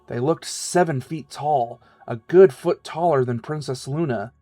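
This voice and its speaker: A man's voice: medium pitch, unhurried, mellow, no room sound. A man reading scripted fiction as the narrator of a story. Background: music.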